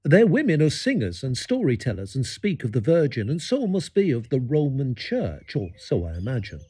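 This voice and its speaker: Husky, expressive old-world English country parson. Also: male voice, medium pitch, moderate pace. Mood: fretful, earnest. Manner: formal, old-fashioned phrasing. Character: fretful eighteenth-century English village parson writing letters. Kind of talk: period letter reading in an audio drama.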